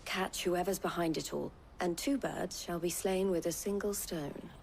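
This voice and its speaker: A woman's voice: on the low side, steady tone, moderate pace, gravelly, darkish tone, slight background noise. An actress playing a shrewd, composed female merchant leader who speaks formally and articulately in a fantasy video game. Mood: grave, composed. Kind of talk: scripted fantasy game dialogue.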